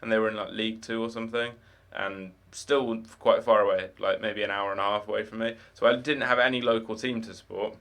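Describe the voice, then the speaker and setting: A young man with no particular accent chats through a late-night game stream, his voice sitting slightly low. He rambles loosely, restarting sentences and padding them with fillers. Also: steady tone, fairly quick, creaky, hardly any pauses, a bit bright. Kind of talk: casual live-stream chat.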